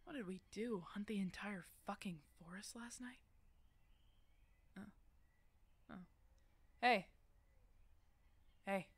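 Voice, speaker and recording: A woman's voice: medium pitch, takes it slowly, pauses often, slightly rough, no echo. A woman playing a protective, teasing werewolf mentor in an ASMR roleplay, casual and bossy but affectionate. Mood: groggy, amused. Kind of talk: ASMR roleplay audio drama addressed to the listener.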